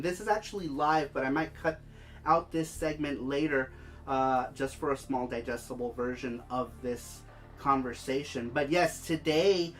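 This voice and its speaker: A gaming livestream host speaks casually at a middling pitch, with a nose stuffed up from a head cold. The delivery is chatty, moving between explanation and calling out viewer comments. Music plays behind the voice. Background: background music.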